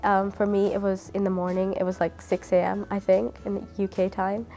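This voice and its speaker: A woman's voice: mid-range voice, level tone, fairly quick, dark tone, faint music behind. A woman from the UK, a dentistry student, chatting through her answers with many fillers. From United Kingdom. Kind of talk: interview answers.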